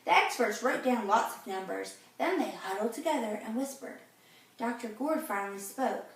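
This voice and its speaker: A woman reading a picture book aloud to children in a gentle, teacherly way, pausing to ask them questions. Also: on the high side, lively intonation, distant mic. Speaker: read-aloud host for children. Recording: very echoey room, off-mic.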